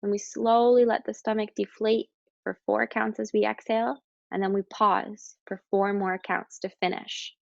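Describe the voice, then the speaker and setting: A woman guides a meditation in a calm, instructing manner, leaving pauses between her phrases. Her voice sits at a medium pitch. The sound is very dry and close, with a slightly dull, lo-fi quality. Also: vocal fry, no echo.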